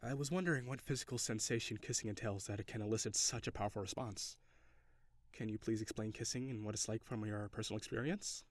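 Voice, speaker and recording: A man's voice: fairly low pitch, fast, no room sound, close to an everyday voice. A man playing a curious, reserved alien in an intimate audio roleplay, speaking in stiff, formal, precise phrasing. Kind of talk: intimate scripted audio roleplay addressed to the listener.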